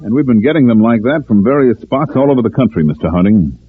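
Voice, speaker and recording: A man's voice: mid-range voice, lively intonation, fast, loud music behind the voice, lightly acted. An actor playing a plain-spoken, deferential male agent in an old radio drama. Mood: matter-of-fact. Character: matter-of-fact male agent reporting to his chief. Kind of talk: 1940s-50s radio drama acting. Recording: tinny, band-limited old radio recording.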